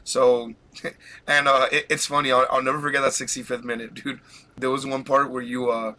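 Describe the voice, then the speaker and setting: A man hosting a casual live podcast, talking excitedly and rambling with frequent laughs while fighting a cold. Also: medium pitch, normal speed. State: has a cold. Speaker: podcast host.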